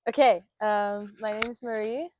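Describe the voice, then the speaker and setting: A woman's voice: mid-range voice, fairly even intonation, moderate pace, a little hiss or hum. A woman in her twenties from Florida, talking casually and earnestly in an interview, with many fillers. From Florida, United States. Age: 20s. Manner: earnest, lots of fillers and laughs, acts out quotes. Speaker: interviewee, Buddhist student.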